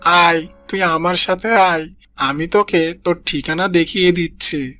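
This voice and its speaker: A man's voice: high voice, steady tone, unhurried, sharp tone, no echo. Give me a man playing a greedy old cartoon fox, falsely friendly, with light music behind. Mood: sly. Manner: falsely friendly, grumbling about age. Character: old male fox, sly and greedy, sweet-talking. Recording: cartoon voice-over with background music.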